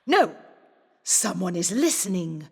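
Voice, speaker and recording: A woman's voice: lowish voice, big pitch swings, takes it slowly, creaky, breathy edge, heavily acted. A woman playing an imperious, scornful ghost medium in a theatrical audio drama, with a haughty, grandiose way of talking. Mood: imperious, intent. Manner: haughty, grandiose, sharp-tongued.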